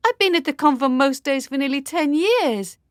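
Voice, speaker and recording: A woman's voice: high-pitched, lively intonation, moderate pace, no echo, clean studio sound. A young woman playing a lord's dreamy, pleading daughter in a period radio drama, earnest and formal. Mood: desperate pleading.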